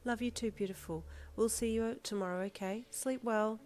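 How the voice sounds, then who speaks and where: A woman's voice: a little high, fairly even intonation, moderate pace, darkish tone, a little hiss or hum, voice pushed up, close to an everyday voice. Woman doing a gushing, tender mum. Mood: affectionate. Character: gushing warm middle-aged mother.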